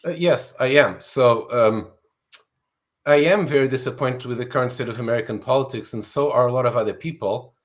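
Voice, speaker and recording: A man in his sixties from Portugal talks through his ideas as an interview guest. He explains things earnestly and fluently, with a few restarts, at a middle pitch. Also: fairly quick. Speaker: author and guest. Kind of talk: interview answers.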